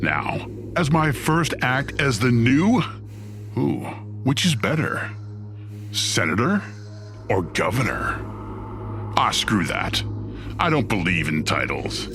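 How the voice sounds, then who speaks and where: A man's voice: mid-range voice, very animated, slow, pauses often, music in the background. An actor playing Satan, a smug, sardonic and theatrical ruler of Hell who toys with his listeners in an audio drama. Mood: grandiose, playful. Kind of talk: scripted audio drama acting.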